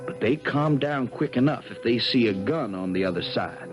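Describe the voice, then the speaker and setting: An actor playing a steady, protective country-bred lawyer who also tells the story in a folksy way, in a 1970s radio drama. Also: male voice, mid-range voice, moderate pace, music in the background, lightly acted. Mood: narrating. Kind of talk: radio drama dialogue and first-person storytelling.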